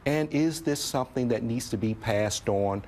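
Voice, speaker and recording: A man speaking as a federal law enforcement official in a news interview, deliberate and formal. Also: medium pitch, a little mellow, slight background noise. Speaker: FBI official. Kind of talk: interview soundbite.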